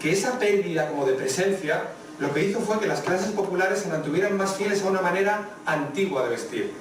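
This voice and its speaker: A man's voice: high-pitched, expressive, brisk. Madrid-accented man lecturing in an echoey hall. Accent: Madrid Spanish. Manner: explanatory, addresses the audience directly. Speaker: guest lecturer on traditional dress. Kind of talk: public lecture with slides. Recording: echoey hall, distant mic, faint noise.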